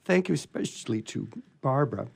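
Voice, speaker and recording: A man hosting a radio theatre show informally, joking and rambling in a halting way. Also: medium pitch, animated, gravelly, slightly rough. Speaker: host.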